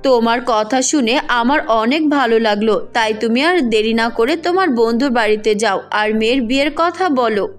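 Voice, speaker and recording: Woman voicing a fretful, lower-pitched cartoon mother. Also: normal speed, few pauses, faint music behind, close to an everyday voice. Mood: pleased. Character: exasperated, nagging middle-aged Bengali mother of a grown daughter. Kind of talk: cartoon fairy-tale voice acting.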